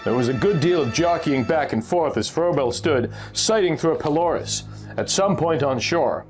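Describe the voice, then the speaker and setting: A man reads a pulp adventure tale with theatrical suspense. His voice sits a bit high and swings widely in pitch, with music playing behind him. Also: animated, normal speed, darkish tone. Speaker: narrator reading a pulp magazine story. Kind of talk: dramatic scripted narration of an adventure story.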